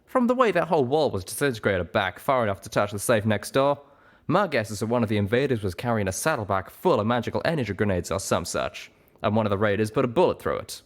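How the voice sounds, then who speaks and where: A man's voice: on the low side, big pitch swings, fast talker. Man doing a folksy cowboy stallion. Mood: matter-of-fact. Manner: folksy phrasing. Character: folksy, easygoing cowboy stallion, practical scout.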